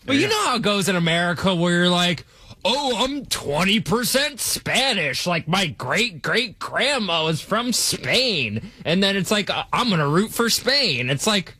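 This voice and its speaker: A man's voice: sits high, sing-song, a little slow, pushed and bright, no echo, clean studio sound. A man co-hosting a sports radio show, making his points in an emphatic, argumentative way. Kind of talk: casual sports debate.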